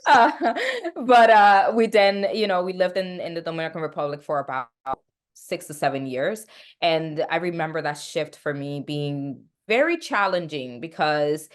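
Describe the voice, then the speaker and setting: Young woman therapist, low voice. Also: moderate pace. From United States. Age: young adult. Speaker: guest, licensed therapist. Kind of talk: casual interview conversation.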